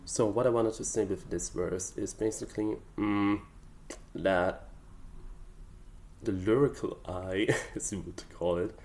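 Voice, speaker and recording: Low, unhurried male vlogger, German native speaking English, thoughtful and hesitant, fills pauses with "um" and "like". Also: steady tone, darkish tone. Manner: hesitant, thoughtful, many fillers. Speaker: hobby songwriter. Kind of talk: casual explanatory vlog.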